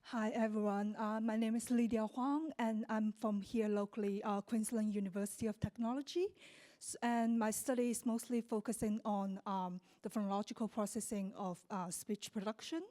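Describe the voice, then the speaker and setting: A woman's voice: medium pitch, fairly even intonation, moderate pace, warm tone. A woman researcher introducing herself at a conference in a polite, eager way.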